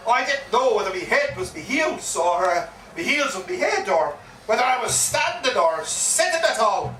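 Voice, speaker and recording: An actor playing an excitable Irish pub yarn-spinner, theatrically repeating himself with relish in a live comic stage monologue. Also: male voice, sits high, moderate pace, exaggerated voice. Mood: rambling, confiding. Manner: theatrical, repeats phrases with relish and dramatic emphasis. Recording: echoey live pub recording, distant mic, faint noise.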